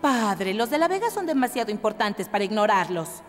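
A woman's voice: mid-range voice, very animated, brisk, big-room sound, faint music behind, natural voice. An actress playing a clever, proud young woman in a cartoon, poised, persuasive and pointed. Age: young adult. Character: clever, proud young woman, mayor's strong-willed daughter. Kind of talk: animated cartoon dubbing.

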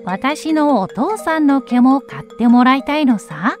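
A woman's voice: sits high, lively intonation, measured pace, darkish tone, soft background music. A woman voicing a mischievous raccoon dog trickster in a children's story, drawing words out in a self-satisfied way. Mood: sly, demanding.

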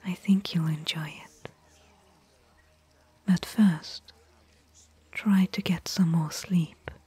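Actress for bookish woman adventurer, ASMR audio roleplay: mellow, gentle whisper, close and dry. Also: fairly low pitch, fairly even intonation, dry recording, full character voice. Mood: gentle, caring. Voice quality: breathy, whispered. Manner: slow, gentle, pausing between short phrases. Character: gentle, bookish female desert explorer, caring companion.

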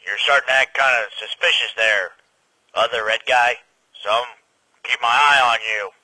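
A man's voice: mid-range voice, moderate pace, pushed and bright, husky, low-quality recording. A man voicing an irritable, sarcastic young soldier who has become a ghost, in conversational, exasperated cartoon comedy dialogue. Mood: suspicious.

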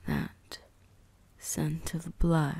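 An ancient vampire huntress acted by a woman murmurs to her prey in an ASMR roleplay. Her voice is soft and dark, with breathy whispers and a creaky edge. She speaks very slowly, seductive, possessive and quietly menacing. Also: sits low, very animated, full character voice. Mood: menacing, teasing. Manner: slow, teasing, menacing. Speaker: voice actress.

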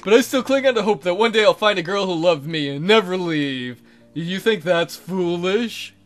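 A man's voice: high voice, lively intonation, normal speed, soft background music. A man voicing a clingy, lovelorn teenage boy from a dating game, reading the dialogue earnestly and dramatically. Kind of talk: visual novel dialogue read aloud.

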